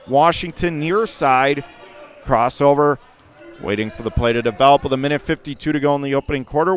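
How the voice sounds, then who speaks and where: A man calling live radio basketball play-by-play in clipped phrases that can burst into excited calls. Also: on the high side. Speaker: play-by-play announcer. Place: courtside in a high school gym during a game.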